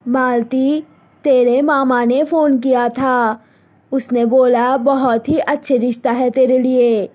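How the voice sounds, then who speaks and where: A woman's voice: high voice, steady tone, takes it slowly, darkish tone, dull audio. Woman voicing stern, anxious village mother. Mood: firm. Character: worried, stern middle-aged village mother.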